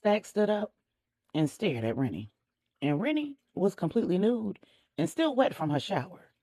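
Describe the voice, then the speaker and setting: A woman's voice: mid-range voice, sing-song, normal speed, dark tone, dry recording, clean studio sound. A woman narrating a story expressively as a storyteller, with a conversational touch.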